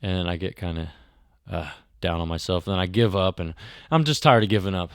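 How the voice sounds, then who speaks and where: A man's voice: low-pitched, brisk, clear, resonant tone, no echo, studio quality. A man from Missouri hosting a podcast, rambling and self-deprecating as he opens the episode with a casual chat. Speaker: podcast host. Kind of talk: casual solo intro to a podcast.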